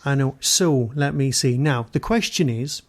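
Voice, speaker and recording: A man's voice: mid-range voice, a little slow. A man hosting a podcast interview in a casual, chatty, rambling and self-deprecating way. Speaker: podcast host.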